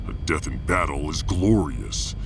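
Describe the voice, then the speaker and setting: A man's voice: a bass voice, lively intonation, measured pace, over music, exaggerated voice. A man playing a proud, blunt alien warrior officer in a sci-fi audio drama, speaking with solemn formality. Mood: proud, indignant. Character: gruff, proud male alien warrior officer, hungry for glory.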